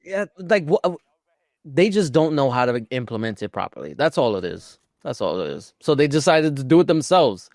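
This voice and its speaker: A man's voice: medium pitch, big pitch swings, normal speed, a little mellow, dry recording, studio quality. A man co-hosting a podcast, chatting informally and bluntly. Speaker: podcast co-host. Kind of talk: casual chat.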